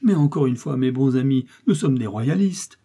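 A terrified peasant mother acted out by a male narrator from a storybook, pious and trembling. The voice is husky and lifts high in imploring exclamations. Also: expressive, moderate pace, dark tone. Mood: pleading.

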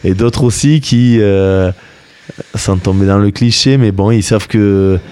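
Young male athlete, Basque native tongue, deep and mellow, thinks aloud with fillers, dry studio sound. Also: measured pace, smooth. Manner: hesitant, many fillers and restarts. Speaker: rugby player, interview guest. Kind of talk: casual radio interview.

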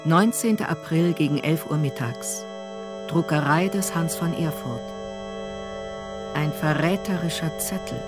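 Female radio drama narrator: low register, terse scripted scene announcements, with clear music underneath. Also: a little slow.

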